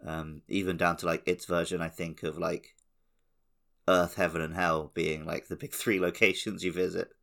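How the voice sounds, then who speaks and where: A man's voice: low voice, level tone, normal speed, gravelly, a bit bright. A man co-hosting a video game podcast in an informal, slangy, conversational way. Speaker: podcast co-host. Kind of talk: casual video game chat.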